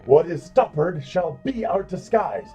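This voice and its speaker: An actor playing a wry, scheming drunken adventurer in a comic fantasy audio drama, speaking in flowery archaic phrases. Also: male voice, high voice, animated, a little slow, mellow, lo-fi sound, soft background music. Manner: pompous, flowery archaic diction.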